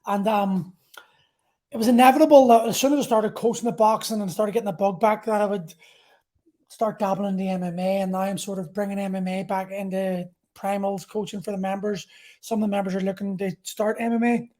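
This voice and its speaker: A man's voice: high voice, fairly even intonation, a little fast, mellow. A man, talking casually and candidly about his own experiences as a podcast host and coach, rambling a little and swearing freely. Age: 40s. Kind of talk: casual solo talk about personal experience.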